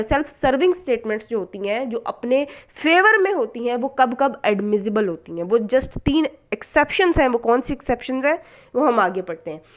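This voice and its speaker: Pakistani lady lecturer, kinda high voice, explains stuff step by step, asks questions then answers them. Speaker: law lecturer. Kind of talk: explanatory law lesson, mixing in English terms.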